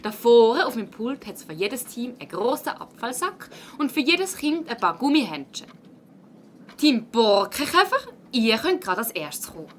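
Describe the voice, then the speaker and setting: A woman playing a brisk, encouraging primary school teacher in a children's radio play, instructing a class in Swiss German. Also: a little high, big pitch swings, a little hiss or hum. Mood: brisk, instructive. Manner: instructive, orderly, addressing a class.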